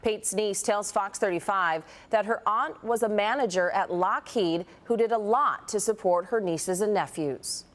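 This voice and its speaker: A woman anchoring a local morning TV newscast, reading stories clearly and steadily. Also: mid-range voice, a little slow, hardly any pauses, a little hiss or hum. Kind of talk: scripted news reading.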